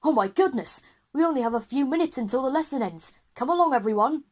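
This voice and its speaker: A woman's voice: high-pitched, expressive, fast talker, darkish tone, pitched above the performer's own voice. A woman voicing a well-meaning, flustered male history teacher in a children's audio drama, explanatory and apologetic.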